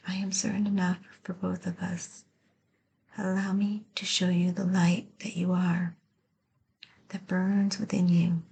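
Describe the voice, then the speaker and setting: Woman, ASMR poetry reading, very breathy whisper, slow and soothing, gentle delivery. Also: mid-range voice.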